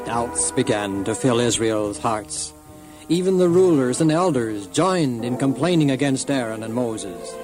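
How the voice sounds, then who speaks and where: A man's voice: medium pitch, animated, unhurried. A man reading scripted storytelling narration for a dramatized children's Bible story. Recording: loud music and crowd sounds behind. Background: loud music and crowd.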